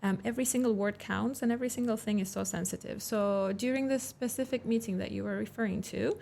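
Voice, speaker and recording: A Turkish Cypriot woman, a native Turkish speaker, talks as a podcast guest. Her speech is thoughtful and measured, and she pauses often on filler ums while choosing her words. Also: mid-range voice, creaky, darkish tone, slight background noise. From Cyprus. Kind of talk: casual podcast conversation.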